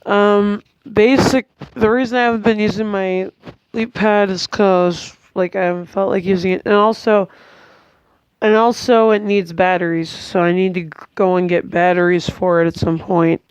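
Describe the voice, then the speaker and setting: A tired, congested young woman, giving a hesitant, chatty vlog update at home with many pauses and restarts. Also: mid-range voice, flat delivery, smooth, no room sound, low-quality recording. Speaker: vlogger. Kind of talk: casual rambling update to camera.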